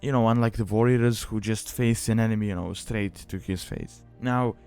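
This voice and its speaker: A man's voice: lowish voice, moderate pace, darkish tone, smooth. A young man narrating a gaming guide in an informal, rambling, conversational way. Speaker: video guide host. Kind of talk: casual explanatory gaming guide voice-over. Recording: music behind the voice. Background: background music.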